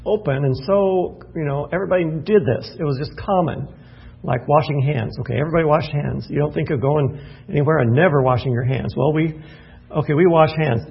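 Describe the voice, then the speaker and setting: A man's voice: on the high side, vocal fry, breathy edge, highs cut off. A man preaching at an evening Bible study in a folksy, self-deprecating way, thinking aloud and restarting his phrases. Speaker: pastor.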